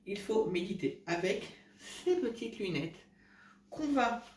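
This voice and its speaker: Stuffy-voiced man, halting vlog. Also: high-pitched, lively intonation, darkish tone. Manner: halting, many restarts and self-corrections. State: congested with a cold. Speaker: vlogger giving home remedy tips. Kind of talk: casual chat to camera. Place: indoors at home at night. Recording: roomy, from a distance.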